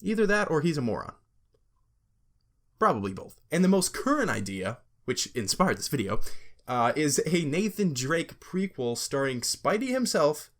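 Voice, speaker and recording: Animated, sarcastic young male video host. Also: fairly high pitch, moderate pace. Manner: sarcastic, joking, profane asides. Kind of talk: casual scripted video commentary on gaming.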